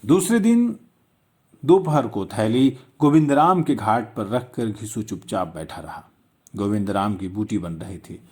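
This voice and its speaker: A man's voice: fairly low pitch, lively intonation, a little slow, darkish tone, husky. A man narrating a literary story in a dramatic storytelling style.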